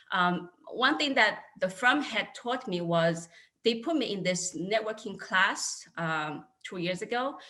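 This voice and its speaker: A woman in her thirties with a Chinese accent talks earnestly about her career on an online panel. Her voice sits on the low side yet sounds crisp and bright. She comes through a video call mic. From China. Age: 30s. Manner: earnest, candid storytelling. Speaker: panelist, accounting manager. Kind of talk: casual panel talk.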